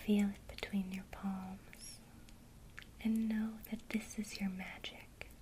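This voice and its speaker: A woman whispering softly and calmly through a guided ASMR lesson on casting a spell. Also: medium pitch, rough recording. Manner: soft unvoiced whisper, slow and calm. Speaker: ASMR creator teaching witchcraft.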